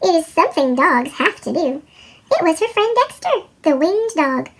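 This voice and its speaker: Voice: extremely high pitch, very animated, moderate pace, a bit bright, a little hiss or hum. A storyteller with a sped-up chipmunk voice effect, reading a children's picture book expressively. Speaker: read-aloud storyteller.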